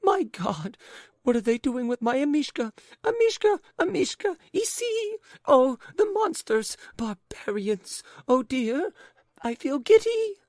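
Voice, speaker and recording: A man's voice: extremely high pitch, big pitch swings, unhurried, a little mellow, dry recording, studio quality, pitched above the performer's own voice, heavily acted. A man reading an audiobook, voicing a distraught lady who shrieks and cries in frantic bursts. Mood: frantic shrieking. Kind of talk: audiobook character voice.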